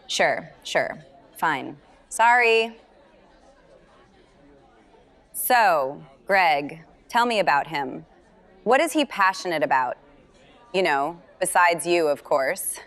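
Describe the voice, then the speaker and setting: A woman's voice: fairly low pitch, expressive, fairly bright tone, exaggerated voice. A nosy middle-aged aunt in an audio drama, wry and playful, faint chatter behind her. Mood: skeptical, wry. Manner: playful, wry, drawn-out emphasis. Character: teasing, nosy, affectionate aunt. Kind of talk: naturalistic audio drama dialogue.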